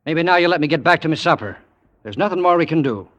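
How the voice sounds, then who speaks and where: A man's voice: a little high, lively intonation, quick pace, a bit bright, dull audio. An actor playing a reluctant apartment superintendent, a plain-spoken man who is doubtful and placating, in old-time radio drama. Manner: folksy, plain-spoken, soothing yet doubtful.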